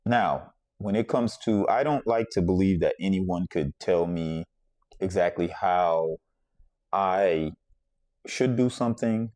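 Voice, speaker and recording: A man's voice: low-pitched, warm tone, no echo. A man from the Bahamas hosting a podcast, telling a personal story in a reflective, conversational way and circling back to repeat his points. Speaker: podcast host.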